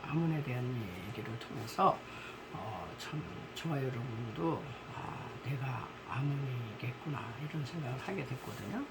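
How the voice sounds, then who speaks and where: A male pastor preaching a sermon, hoarse from a cold, talking haltingly with many fillers and pauses. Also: medium pitch, noisy background. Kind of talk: sermon with prayer.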